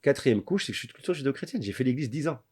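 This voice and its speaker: A man's voice: medium pitch, expressive, racing, a little mellow, husky. A man in his forties, a playwright being interviewed, talking reflectively and thinking aloud with frequent restarts. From Belgium. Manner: reflective, many restarts and fillers, builds lists. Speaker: guest, playwright. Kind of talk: candid podcast interview.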